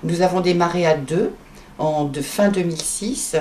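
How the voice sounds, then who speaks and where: Woman in her sixties, low dark voice, interview answers, faint background noise. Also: moderate pace. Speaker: retired volunteer at debt-aid association.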